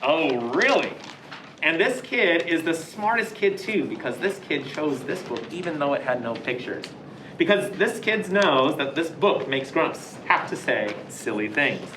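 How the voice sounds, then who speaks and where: A woman's voice: sits low, animated, measured pace, echoey room, background noise. A woman reading a children's picture book aloud, playing up mock outrage and dismay. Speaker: storybook reader.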